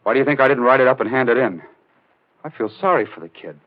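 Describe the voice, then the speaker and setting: An actor playing a brooding young newspaper reporter with a painful past, in a grave, dramatic 1940s radio style. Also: male voice, mid-range voice, expressive, fast, natural voice. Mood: pleading, angry. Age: 20s. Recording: muffled old 1940s radio recording.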